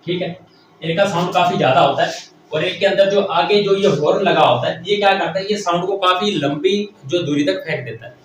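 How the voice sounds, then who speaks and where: A man's voice: high voice, brisk. A man teaches like a classroom lecturer, explaining step by step, repeating phrases and answering his own questions. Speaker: technical teacher. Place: echoey indoor room. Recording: very echoey room, distant mic, faint noise.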